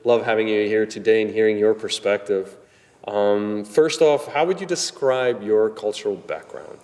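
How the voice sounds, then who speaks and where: A man's voice: on the low side, moderate pace, a little mellow. A man hosting an interview, asking questions in a friendly way. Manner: friendly, brief affirming murmurs. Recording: echoey room with long reverb.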